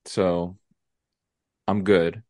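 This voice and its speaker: A young man with a stuffy, congested nose co-hosting a casual podcast chat, halting with many ums and restarts. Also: sits low, little pitch movement, a little mellow, dry recording, clean studio sound. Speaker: podcast co-host.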